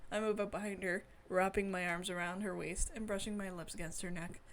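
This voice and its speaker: A giggly, excitable woman streamer reading a visual novel aloud and gushing over it. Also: medium pitch, brisk, slight background noise. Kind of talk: reading game story aloud with gushing reactions.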